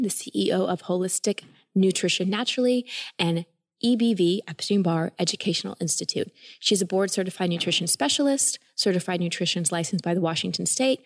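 A woman's voice: mid-range voice, vocal fry, no echo, clean studio sound. A woman in her forties hosting a podcast, reading an ad and interviewing a guest in an upbeat, earnest way. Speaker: podcast host. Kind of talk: read ad and interview.

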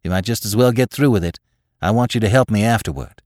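A man's voice: fairly low pitch, fast, darkish tone, no echo, studio quality, voice pushed up, natural voice. A man voicing a firm, plain-spoken, middle-aged farm mother with homely rustic speech in an audiobook story. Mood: calm, firm.

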